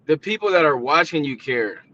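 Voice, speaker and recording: A man's voice: a little high, animated, fairly quick, pushed and bright, no echo, muffled recording. A man in his thirties calling in, arguing back casually and defensively in slangy talk. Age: 30s. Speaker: caller. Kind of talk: heated live-stream argument.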